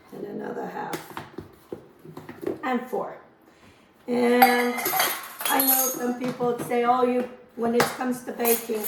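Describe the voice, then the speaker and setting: Hoarse, woman host; unhurried, homely kitchen chatter, slightly high voice, recorded a step back from the mic. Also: roomy sound, distant mic, a little hiss or hum, rough recording. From Azores. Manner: chatty, homely, rambling asides. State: hoarse from a lingering cough. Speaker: cooking channel host. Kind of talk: casual cooking demonstration. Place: home kitchen.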